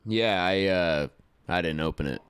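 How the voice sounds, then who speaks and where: An actor playing a proud, blunt young rodeo rider from West Texas who talks in a guarded way. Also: male voice, deep voice, a little slow, a bit bright, clean tone. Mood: wary. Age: young adult. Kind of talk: radio play acting.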